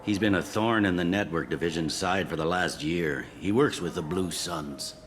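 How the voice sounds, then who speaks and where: A jaded police captain from a video game. He speaks low, in a blunt and wry way. There is faint noise in the background. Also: moderate pace, fairly bright tone.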